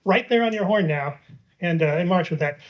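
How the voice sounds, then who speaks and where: A man's voice: sits high, a little fast, muffled recording. A man in his fifties asking his representative a question at a town hall, talking in a casual, rambling way with lots of hesitation. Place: public library meeting room.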